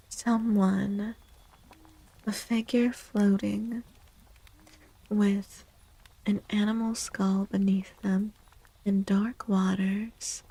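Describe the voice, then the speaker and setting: Female ASMR streamer, soft and breathy with plenty of vocal fry, unhurried, slipping into whispers. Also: mid-range voice, poor audio. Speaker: live streamer doing tarot readings for chat.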